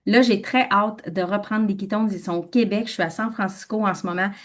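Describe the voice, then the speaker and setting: A woman's voice: mid-range voice, steady tone, fairly quick. Québécois lady telling her story on a webinar, emphatic, middle-aged. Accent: Québécois French. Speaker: webinar speaker. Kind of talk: personal testimony.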